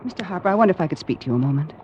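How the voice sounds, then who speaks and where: An actress playing a frightened, pleading captain's wife, urgent and anxious, in the style of 1940s radio drama. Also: female voice, low-pitched, expressive, very fast, darkish tone. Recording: dull, noisy vintage radio recording.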